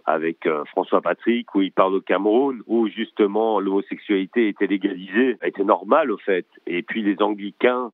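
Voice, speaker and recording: Man with a low voice on a tinny phone line. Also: no room sound. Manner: eager, many restarts and hesitations. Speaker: documentary director, guest. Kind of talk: remote interview conversation. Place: home in Brussels.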